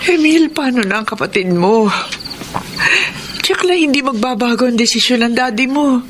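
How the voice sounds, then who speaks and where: Babaeng gumaganap na balisang ina, pabago-bago ang tono. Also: female voice, on the high side, animated, normal speed, slight background noise, close to an everyday voice. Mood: worried. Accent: Filipino. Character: anxious middle-aged mother, protective of her son. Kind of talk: radio drama acting.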